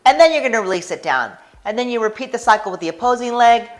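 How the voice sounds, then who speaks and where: An American woman chiropractor talking through a stretching routine, calmly guiding breaths and holds. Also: fairly high pitch, fast, faint music behind. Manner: calm, guiding with breathing cues. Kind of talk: instructional stretching demonstration.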